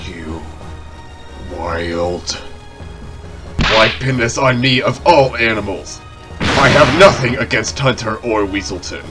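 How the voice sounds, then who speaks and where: A man plays a defensive wolf in an audio drama. The voice is low and drawn out, with menace in it. Loud music plays over the words. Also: lively intonation, slow, pauses often. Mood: indignant. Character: slow, growling male wolf, an accused witness. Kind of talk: audio drama character acting.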